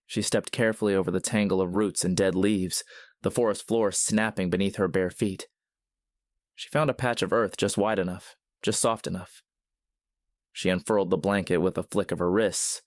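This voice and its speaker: Deep, even-toned male narrator. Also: no room sound, studio quality. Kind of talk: scripted story narration.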